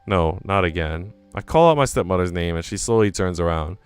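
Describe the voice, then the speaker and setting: Visual novel lead: anxious young woman, dramatic inner monologue, lively intonation, smooth tone male reader. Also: sits low, fairly quick, no echo, faint music behind. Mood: reflective. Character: Nana, anxious young woman narrating her troubles. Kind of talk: visual novel first-person reading.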